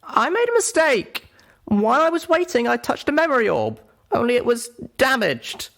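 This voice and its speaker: A young female unicorn pony, anxious and guilt-ridden, her voice pitched high and strained as she pleads through tears, as a man puts her on. It sounds like a storybook reading with plenty of swing in the pitch. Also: big pitch swings, moderate pace, vocal fry, fairly bright tone, exaggerated voice. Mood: confessing.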